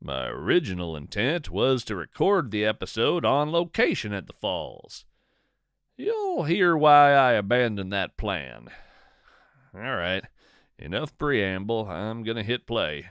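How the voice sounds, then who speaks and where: Slow, expressive male storyteller. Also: lowish voice, clear, resonant tone, dry recording, studio quality. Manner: deliberate, measured, literary delivery. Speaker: podcast host and narrator. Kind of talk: scripted narration, poetry reading and storytelling.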